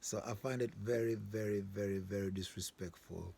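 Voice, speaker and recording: Male host from southern Africa, very low voice; informal, opinionated, emphatic delivery, repeats points and checks in with listeners; audible ambient noise. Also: steady tone, normal speed, warm tone. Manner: emphatic, repetitive, checks with rhetorical questions. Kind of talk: informal opinionated monologue to viewers. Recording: clear background ambient noise.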